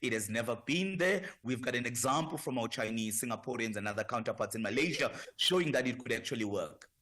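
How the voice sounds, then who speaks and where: A man's voice: mid-range voice, expressive, fast talker, a bit bright. A man from South Africa with a South African accent, a professor guest on a radio talk show, speaking with impassioned emphasis in building lists. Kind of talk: radio discussion.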